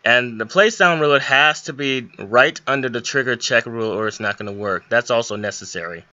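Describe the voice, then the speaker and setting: A man's voice: mid-range voice, brisk, sharp tone, dry recording, muffled recording. A young man giving an informal, step-by-step screen tutorial with a somewhat hesitant way of explaining. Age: young adult. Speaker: hobbyist game modder giving a tutorial.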